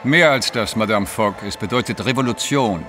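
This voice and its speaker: A proud, theatrical French newspaperman in a radio play. His voice is on the low side, emphatic and self-assured, with music clearly playing behind it. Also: normal speed, bright, forceful tone. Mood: dramatic, knowing. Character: confident, dramatic French journalist, flamboyant and proud. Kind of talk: German audio drama acting.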